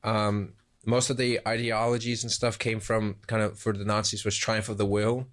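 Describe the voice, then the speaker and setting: A man with a lowish voice chats through an interview. He rambles a little, stacks up fillers and restarts, and keeps it casual. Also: level tone, normal speed, a bit bright. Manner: loose, rambling with many fillers. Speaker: film crew member, camera operator.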